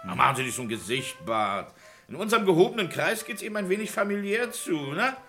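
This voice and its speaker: An actor playing a chummy, teasing lawyer colleague in an old radio crime play. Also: male voice, high-pitched, very animated, fast talker, sharp tone, faint music behind, exaggerated voice. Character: jovial, joshing lawyer colleague, middle-aged man. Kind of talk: 1950s-80s German radio crime play acting.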